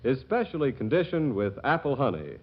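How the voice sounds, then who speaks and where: A man's voice: mid-range voice, big pitch swings, a little fast. A man as announcer, reading a scripted 1940s radio commercial. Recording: muffled 1940s radio broadcast.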